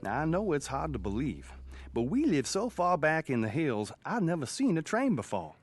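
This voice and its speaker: A man's voice: a little high, big pitch swings, normal speed, faint music behind. An actor playing a folksy man narrating memories of his rural boyhood in an old-time radio drama. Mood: reminiscent. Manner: plain-spoken, reminiscing storyteller.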